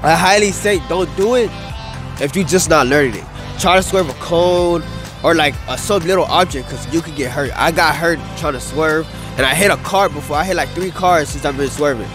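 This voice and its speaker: A man's voice: fairly high pitch, normal speed. A young man giving a casual outdoor interview on a grassy field, talking loosely with lots of slang, into a handheld mic. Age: young adult. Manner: loose, rambling, slangy, lots of "bro" and "dude". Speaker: interviewee, wheelie rider. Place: open grass field outdoors by a city skyline. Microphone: handheld mic held by interviewer. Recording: music playing underneath. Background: background music.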